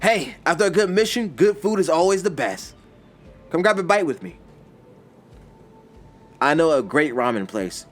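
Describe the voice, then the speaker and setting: A man's voice: fairly high pitch, big pitch swings, moderate pace, long pauses, faint music behind, voice pushed up. A man voicing a cheerful, cocky teenage boy ninja in a story reading, talking in an upbeat, casual and eager way. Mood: friendly. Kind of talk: fan fiction story reading.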